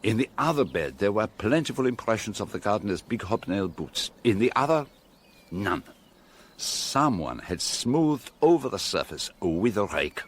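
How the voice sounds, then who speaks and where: Radio drama: courteous, confident sleuth, deepish pitch, highly varied melody, precise delivery. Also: male voice, big pitch swings, moderate pace, slightly rough. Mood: shrewd, assured. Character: shrewd Belgian detective, courteous, confident, gently secretive older man.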